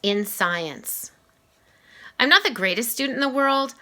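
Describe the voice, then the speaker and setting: A woman reading a children's novel aloud in expressive first-person narration, shifting delivery for dialogue and asides. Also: on the high side, a little slow.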